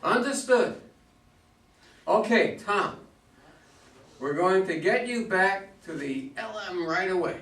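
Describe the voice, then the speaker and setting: Man acting a flustered astronaut, high creaky voice. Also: lively intonation, slow pace, long pauses, fairly bright tone, exaggerated voice. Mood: brisk, concerned. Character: flustered astronaut coaxing a confused crewmate. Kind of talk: amateur staged play reading. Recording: echoey room, distant mic.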